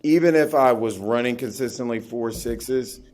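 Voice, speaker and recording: A man's voice: mid-range voice, normal speed, a little mellow. A man who is a pastor, talking about faith in a reflective, earnest way as a podcast guest. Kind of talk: casual conversational interview.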